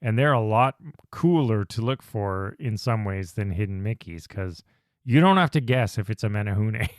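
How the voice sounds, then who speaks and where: A man's voice: on the low side, expressive, moderate pace, dry recording. Chatty podcast host man, dry sound. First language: not Hawaiian. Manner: chatty, enthusiastic, laughs often. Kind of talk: casual chat.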